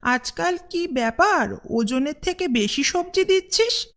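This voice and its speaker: A woman's voice: high voice, animated, unhurried, voice pushed up. A woman voicing a curious village customer in a cartoon fairy tale, teasing and familiar in manner.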